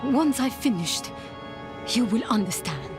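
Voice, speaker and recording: A woman playing a devout, obsessed scholar mother in a fantasy game cutscene, halting and fervent in her delivery. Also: a little high, very animated. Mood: fervent, entranced. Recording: cinematic music behind.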